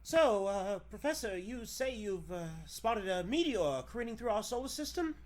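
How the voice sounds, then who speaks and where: Mild-mannered reporter played by a man, radio drama: high, hesitant, deferential, lively intonation. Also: normal speed. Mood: inquiring. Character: meek, mild-mannered young male newspaper reporter. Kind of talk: 1940s radio drama acting.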